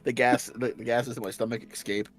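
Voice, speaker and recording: A man's voice: medium pitch, little pitch movement, fairly quick, over music. A man with a slight Southern accent, chatting casually as a stream guest and often restarting his sentences. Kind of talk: casual chat.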